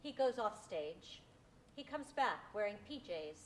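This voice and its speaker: A woman's voice: medium pitch, sing-song, unhurried, a little hiss or hum. A woman reading stage directions from a script for a play.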